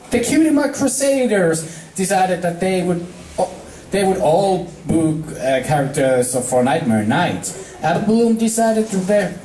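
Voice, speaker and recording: An amateur reader narrating fan fiction aloud in a halting way, stumbling over words. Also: medium pitch, mellow, distant mic. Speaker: story reader. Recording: echoey room.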